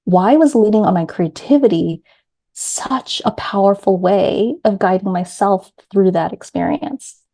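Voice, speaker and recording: A middle-aged woman with a New York accent, a grief coach guest telling her story in an earnest, measured way. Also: medium pitch, animated, creaky, mellow. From New York City. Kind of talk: reflective personal storytelling.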